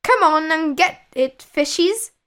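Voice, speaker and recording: Woman voicing an earnest, high-pitched young boy. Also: expressive, takes it slowly, pushed and bright, exaggerated voice. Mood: hopeful. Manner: earnest, nervous, high and exclamatory. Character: timid, hopeful young boy out fishing among pirates. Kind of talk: children's storybook character voice.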